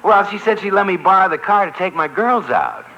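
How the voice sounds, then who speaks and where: A man playing a breezy, girl-chasing bachelor pal in a 1950s radio comedy, with brisk joking banter. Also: sits high, animated. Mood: breezy, eager. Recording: scratchy, muffled 1950 radio broadcast recording.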